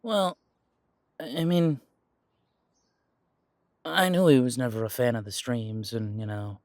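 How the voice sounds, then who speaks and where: A man's voice: medium pitch, fairly even intonation, a little slow, no echo, studio quality, close to an everyday voice. A man playing an earnest, naive young werewolf in an audio roleplay, hesitant, defensive and eager to please. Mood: upset, evasive. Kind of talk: audio roleplay drama acting.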